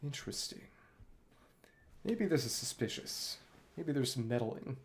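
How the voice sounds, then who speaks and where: Very low-voiced woman gamer, musing aloud. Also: animated, unhurried, slight background noise. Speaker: streamer playing and commenting on a video game. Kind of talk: casual gameplay commentary mixed with reading dialogue aloud.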